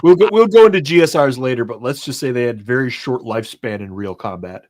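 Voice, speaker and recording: A man's voice: medium pitch, animated, fast, darkish tone, no echo, clean studio sound. A man, an army veteran guest, making wry jokes in casual chat.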